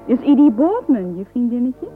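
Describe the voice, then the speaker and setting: A woman's voice: on the high side, very animated, normal speed. A woman playing a bossy, teasing teenage girl minding small boys in a radio play. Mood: coaxing, teasing. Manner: commanding, cooing, theatrical. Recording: tinny old radio recording, faint music.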